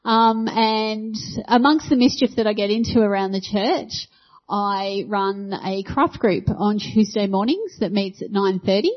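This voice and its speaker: A woman introducing herself at church in a playful, joking manner into a handheld mic. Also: mid-range voice. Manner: playful, jokey. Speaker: church member, craft group leader. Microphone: handheld microphone. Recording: dull lo-fi church recording.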